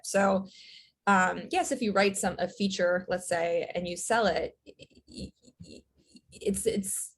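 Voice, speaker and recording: A woman, a writer and director, talking as an interview guest in a relaxed, thoughtful way with many fillers. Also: mid-range voice, a little hiss or hum. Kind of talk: casual interview chat.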